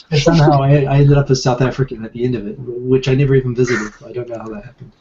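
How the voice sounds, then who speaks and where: A man with a softened New Zealand accent hosting a casual live video chat, talking in halting restarts and repeated words. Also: mid-range voice, highs cut off, background noise. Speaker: live show host. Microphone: video call.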